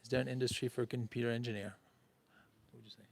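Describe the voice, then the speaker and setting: A man's voice: mid-range voice, steady tone, rapid-fire, vocal fry. A man co-hosting a casual question-and-answer stream, reading viewer questions and giving easygoing advice. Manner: easygoing, hedging with "you know". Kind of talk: casual Q&A livestream.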